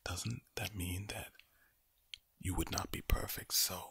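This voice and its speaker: A man speaking in a breathy, low ASMR whisper close to the microphone, deliberately repeating words in a soothing yet sinister-sounding way. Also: fairly even intonation, sharp tone, no echo. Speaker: ASMR performer. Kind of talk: ASMR monologue of whispered and low soft reassurance.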